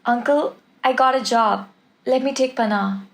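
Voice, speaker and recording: A woman plays a young niece in a drama script read aloud. She is upset and pleading, with a somewhat high voice as she argues her case to her uncle. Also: unhurried, vocal fry. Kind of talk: screenplay table read.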